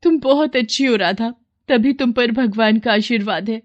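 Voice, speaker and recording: A woman's voice: on the high side, moderate pace, lo-fi sound, voice pushed up, lightly acted. A woman voicing a remorseful neighbour in a moral story, pleading emphatically. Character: jealous neighbour woman turned tearfully remorseful.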